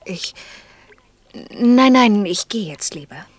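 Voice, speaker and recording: A woman's voice: medium pitch, expressive, slow pace, no echo. An actress playing a reserved, polite woman who acts out the part of wife and mother in an intimate radio drama. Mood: hesitant.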